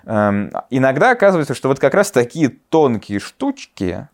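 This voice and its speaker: A man co-hosting a talk podcast, speaking thoughtfully and hesitantly with many fillers and pauses. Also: medium pitch, very animated. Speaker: podcast co-host. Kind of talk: casual discussion.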